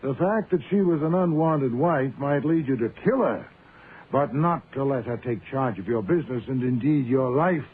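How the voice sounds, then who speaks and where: An actor playing a pompous, shrewd, imperious private detective in a radio mystery, with erudite, deliberate, theatrical phrasing. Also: male voice, mid-range voice, lively intonation, close to an everyday voice. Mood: needling. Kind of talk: radio mystery drama acting. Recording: narrow, tinny, noisy old-time radio recording.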